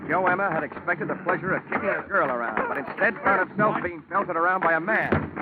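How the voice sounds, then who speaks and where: A man's voice: sits high, fairly quick, close to an everyday voice. A man plays a wry, tough American investigator in an old radio drama. He rattles along in clipped, hard-boiled lines, cool under pressure. The sound is tinny and narrow, with music behind. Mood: tense. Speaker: lead actor and narrator.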